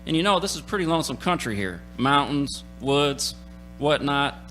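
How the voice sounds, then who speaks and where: An actor playing a folksy, talkative country gas station attendant in a radio play, speaking colloquially. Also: male voice, on the high side, lively intonation, moderate pace, bright, forceful tone. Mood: easygoing, folksy. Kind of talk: radio play character acting. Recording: stage radio play with music.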